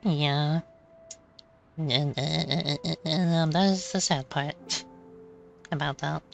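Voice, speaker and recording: Slow-talking, stammering woman streaming a game. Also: low-pitched, steady tone, creaky, soft background music. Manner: hesitant, stammering repetitions of words. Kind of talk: casual playthrough commentary.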